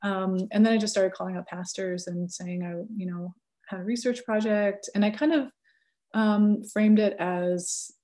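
A woman's voice: mid-range voice, steady tone, normal speed, dark tone. A woman in her thirties, a researcher and teacher, talking casually in an interview, full of fillers and self-corrections with frequent laughs. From California.

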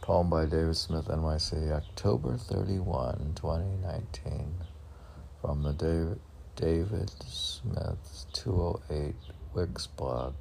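Very deep, gravelly, slow-talking man. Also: warm tone, slight background noise. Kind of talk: casual poetry reading with offhand chat.

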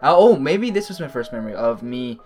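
A man's voice: mid-range voice, sing-song, fairly quick, a little mellow, soft background music. A young man who grew up in Thailand, chatting casually with his brother about his childhood, hesitant with many restarts and frequent laughs. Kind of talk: casual chat.

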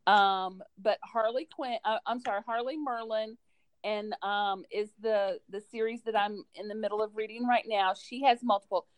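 A woman's voice: medium pitch, fairly even intonation, normal speed, dry recording. A woman chatting casually and enthusiastically about books as a school librarian on a podcast. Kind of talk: casual book recommendation chat.

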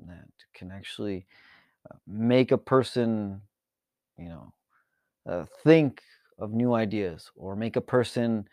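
A man from Mexico and the United States giving an informal, reflective monologue as a channel host, speaking earnestly and haltingly, repeating words for emphasis. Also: on the low side, dry recording, studio quality. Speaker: podcast and YouTube channel host.

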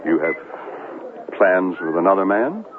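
An actor playing a boastful, manipulative TV reporter in a radio drama, cajoling and self-important. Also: male voice, low voice, very animated, a little slow, noisy background. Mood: smooth, probing. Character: boastful, manipulative male TV reporter pleading with his ex. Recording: tinny narrowband radio broadcast, music behind.

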